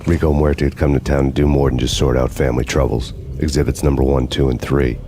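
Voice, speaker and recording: Very deep, deadpan noir cop. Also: male voice, quick pace, music in the background. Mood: grim, brooding. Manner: deadpan, world-weary. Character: brooding, sardonic hard-boiled cop on the run. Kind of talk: film noir scripted narration.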